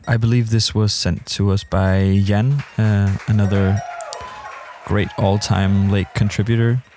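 A man hosting a music show, casually introducing tracks in a hesitant, halting way. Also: lowish voice, flat delivery, noisy background.

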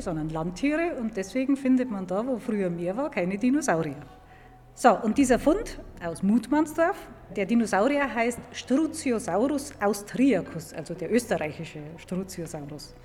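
A woman's voice: medium pitch, animated, normal speed, darkish tone. Woman explaining science in an echoing hall. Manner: clear, didactic, emphatic repetition. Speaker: museum paleontologist. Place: large echoing indoor museum hall. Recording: reverberant hall with background noise.

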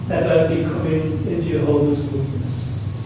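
A man preaches in a high-pitched voice with a Birmingham accent. He drives points home with repeated phrases and questions thrown out to the congregation. The sound is heavily echoing and picked up from a distance. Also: little pitch movement, measured pace. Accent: Birmingham English. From Birmingham, England. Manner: emphatic, repeats phrases, asks rhetorical questions. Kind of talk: sermon to a congregation. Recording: very echoey room, distant mic, clear noise.

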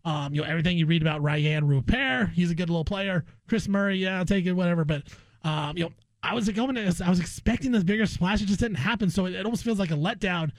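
A man's voice: high-pitched, fast talker, no room sound. A man hosting a sports radio show, giving his opinions in casual conversational talk.

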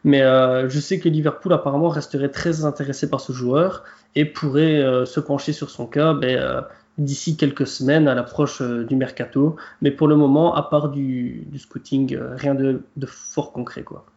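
A man's voice: mid-range voice, steady tone, normal speed, gravelly, darkish tone. A man on a football podcast panel, talking with many hesitations. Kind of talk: podcast discussion. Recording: echoey room, dull audio.

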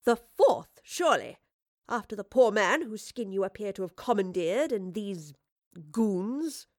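A woman's voice: mid-range voice, lively intonation, a little slow, darkish tone, no echo, studio quality, natural voice. A woman voicing a bold, witty female professor and adventurer with an arch, genteel, commanding manner in an audio drama. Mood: incredulous, tart.

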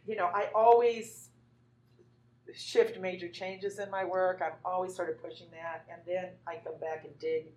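Woman artist, low voice, rambling conversational delivery, faint noise behind. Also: fairly even intonation, moderate pace, darkish tone. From Northern California. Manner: rambling, many fillers and restarts.

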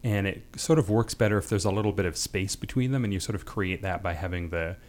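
A man's voice: low voice, fast, a little mellow. A man speaking as a guest writer, discussing and reading short fiction. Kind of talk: panel chat and reading own short fiction.

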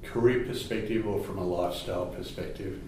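A man hosts an interview in a conversational style. His voice is on the low side and sounds distant from the mic in a very echoey room. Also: fairly even intonation, a little fast, mellow, a little hiss or hum. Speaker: interviewer, host.

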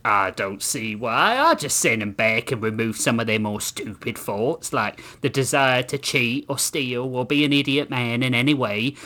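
A performer voicing a defensive, body-positive talking fungus lord with rough, slangy speech, in a story reading. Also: mid-range voice, animated, normal speed, hardly any pauses, bright, forceful tone.

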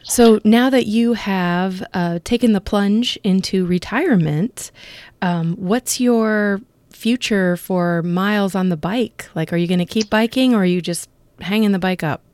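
A woman's voice: medium pitch, unhurried, darkish tone, no room sound. A woman interviewing a guest in a casual, encouraging way, often adding small listening sounds.

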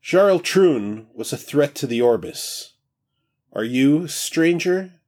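A man voicing a determined woman fighter who speaks forcefully and plainly. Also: fairly high pitch, lively intonation, slow, pitched above the performer's own voice. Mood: wary. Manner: forceful, declaring.

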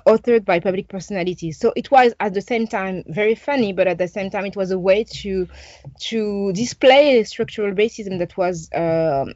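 A woman's voice: mid-range voice, darkish tone, no room sound, muffled recording. A French woman in her forties, a journalist answering interview questions thoughtfully with many hesitations and restarts. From France.

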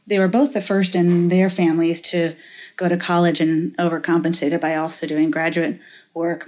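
A middle-aged woman from Finland speaks as a guest in an interview, with a somewhat low voice. She talks in a reflective, halting way, full of restarts and fillers as she works through her answers. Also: darkish tone. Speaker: guest, venture capitalist. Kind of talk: interview conversation.

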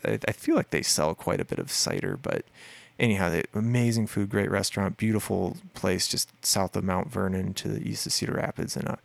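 A deep-voiced man in a relaxed interview chat. He rambles, piling up fillers and restarts. His low voice has a gravelly edge, and the recording is dry and close. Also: a little fast, no echo. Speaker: musician guest. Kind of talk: casual conversational interview.

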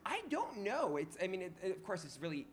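A man from New York whose first language was Hebrew, a podcast guest talking in an animated, casual way full of restarts and fillers. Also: sits high, brisk, a little hiss or hum. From New York, USA. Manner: animated, many restarts and fillers, laughs easily. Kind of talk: casual interview conversation.

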